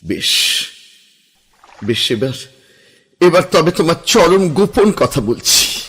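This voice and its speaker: A man's voice: fairly high pitch, sing-song, takes it slowly, a bit bright, husky, exaggerated voice. An actor playing a young man, an impassioned lover, in a radio drama, pleading and intimate. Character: young man Ambar, passionate lover reborn.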